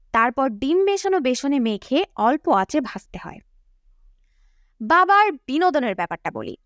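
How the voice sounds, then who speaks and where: A woman narrates a novel in a high voice with very lively intonation. The reading is scripted audiobook storytelling, captured dry and close to the mic. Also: no room sound.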